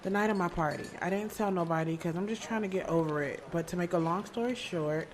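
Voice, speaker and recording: A woman's voice: on the low side, quick pace, a crowd in the background, close to an everyday voice. A woman playing a frank, defensive young woman who narrates her own love-triangle drama in an audio series, with music under her voice. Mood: guarded. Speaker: narrator and lead character. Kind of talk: audio drama acting with first-person narration. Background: background music.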